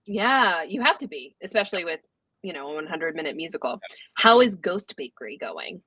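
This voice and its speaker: Woman, host, bright forceful voice, upbeat, chatty, dry close sound. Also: fairly low pitch, sing-song, moderate pace, breathy edge, dry recording, low-quality recording. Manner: upbeat, chatty, reads out fan questions. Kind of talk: hosting a live Q&A.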